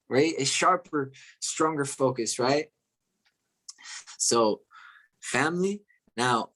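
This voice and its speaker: A man's voice: mid-range voice, low-quality recording. A man from Chile hosting an online group call, speaking enthusiastically in a motivational style, repeating phrases and checking in with "okay?" and "right?". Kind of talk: motivational talk to a live online audience.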